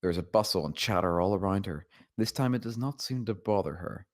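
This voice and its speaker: A man's voice: sits low, animated, a little fast. A man narrating a screenplay, reading out the scene directions.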